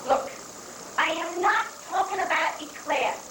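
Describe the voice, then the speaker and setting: Woman playing an exasperated, high-pitched customs official. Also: measured pace. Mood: insistent. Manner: curt, insistent, scolding. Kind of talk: comic dramatic stage reading. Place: echoey room, mic at a distance. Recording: noisy 1980s live recording, echoey, distant mic.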